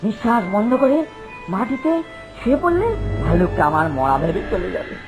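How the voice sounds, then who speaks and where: A woman's voice: medium pitch, big pitch swings, brisk. A woman playing a timid, fearful young male friend in a children's cartoon story, anxious and pleading in manner. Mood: earnest. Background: background music.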